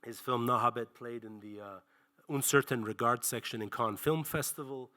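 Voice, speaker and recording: A man's voice: mid-range voice, fairly even intonation, normal speed, pushed and bright. A man in his forties giving a passionate public film lecture, speaking emphatically and rhetorically, stressing key words in repeated phrases and lists. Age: 40s. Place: library auditorium, speaking to an audience.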